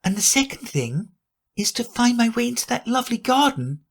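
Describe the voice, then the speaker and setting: A man's voice: very high-pitched, sing-song, moderate pace, gravelly, breathy edge, pitched above the performer's own voice, exaggerated voice. A man voicing a curious, sensible young girl who muses aloud to herself, in a storybook reading. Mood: musing.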